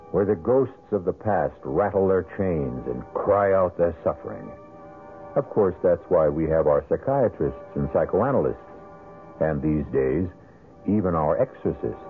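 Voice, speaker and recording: A sardonic, ominous mystery host narrates with theatrical deliberation. His voice is deep, with faint music behind it. The recording is muffled like an old radio broadcast.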